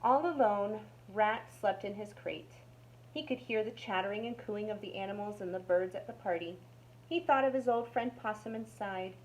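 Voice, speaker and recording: A woman's voice: mid-range voice, normal speed, rough recording. A woman narrating a children's storybook aloud as a storytime reader.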